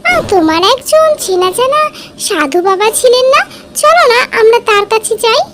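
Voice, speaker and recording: A woman voicing a tiny, earnest baby bird in a children's cartoon, with a squeaky childlike voice. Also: very animated, moderate pace, heavy background noise. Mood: comforting.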